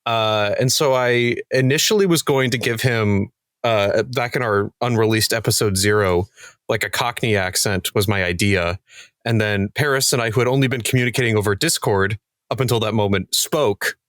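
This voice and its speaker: A man's voice: lowish voice, normal speed, fairly bright tone, dry recording, clean studio sound. A man talking casually as a cast member in a podcast panel, telling stories with acted-out asides. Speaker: podcast cast member discussing their show.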